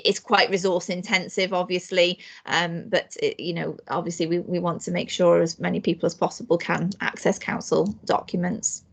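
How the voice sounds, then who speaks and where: A woman council cabinet member giving a hesitant, filler-heavy update in an online meeting. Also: fairly low pitch. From Wales. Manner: hesitant, many restarts and fillers. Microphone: video call.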